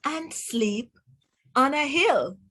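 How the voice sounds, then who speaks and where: A woman's voice: sits high, expressive, extremely slow, pushed and bright. A woman with a Jamaican accent reads aloud to children as a teacher, enunciating words in an instructive way and putting questions to the listener. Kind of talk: children's read-aloud phonics lesson.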